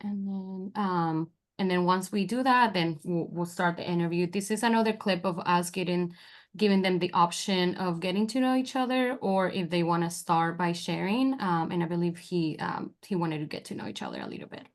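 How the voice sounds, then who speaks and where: A young woman with a Colombian accent, a forensic interviewer presenting a webinar and explaining training clips in a relaxed way, with frequent fillers. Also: fairly low pitch, normal speed. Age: young adult. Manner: relaxed, with many fillers and restarts.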